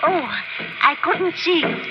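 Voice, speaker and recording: An actress playing an excitable, indignant lady, emphatic, anxious and proper, in old-time radio mystery style. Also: very high-pitched, measured pace, dull audio. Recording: old radio drama, band-limited, music behind.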